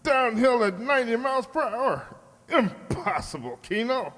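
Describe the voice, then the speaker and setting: A man's voice: extremely high pitch, sing-song, unhurried, fairly bright tone, full character voice. A man playing a cold, scheming crime boss in a radio drama, pompous, menacing and imperious. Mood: smug, menacing. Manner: pompous, menacing, theatrical delivery. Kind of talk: old-time radio drama acting. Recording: long hollow reverb.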